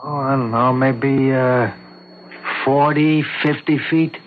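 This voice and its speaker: A man's voice: medium pitch, steady tone, slow pace, slight background noise, close to an everyday voice. A man acting a talkative, stubborn eyewitness in his sixties, hesitant and folksy, in an old-time radio courtroom drama. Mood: earnest, halting. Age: 60s. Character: talkative sixty-seven-year-old male eyewitness, stubborn and plainspoken. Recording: dull lo-fi vintage radio recording.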